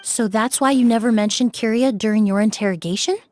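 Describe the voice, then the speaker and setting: A woman's voice: on the high side, a little mellow, slight background noise, lightly acted. A woman voicing a poised, analytical forensic cleaner. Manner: measured, thoughtful, analytical. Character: calm, poised female forensic cleaner and perfumer. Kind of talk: video game voice acting.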